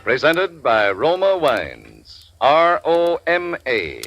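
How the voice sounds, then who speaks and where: Persuasive male radio pitchman. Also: sing-song, takes it slowly, lo-fi sound, loud music behind the voice. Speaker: commercial announcer. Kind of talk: 1940s radio commercial.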